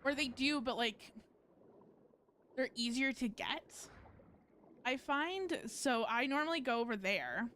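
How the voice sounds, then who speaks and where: A woman's voice: sits high, expressive, slow pace, pauses often, fairly bright tone, a little hiss or hum. A woman giving casual live-stream commentary on a game, rambling and thinking aloud as she plays.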